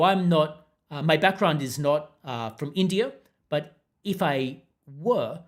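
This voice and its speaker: Unruffled man with a mixed accent. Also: a little high. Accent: mixed international accent. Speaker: podcast host. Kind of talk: casual commentary reading out viewer comments.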